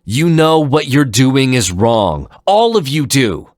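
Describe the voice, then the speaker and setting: A man's voice: mid-range voice, sing-song, takes it slowly, no room sound, studio quality, voice pushed up. A man voicing an honorable veteran warrior, earnest and imploring, in a dramatic story reading. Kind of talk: dramatic fan fiction reading.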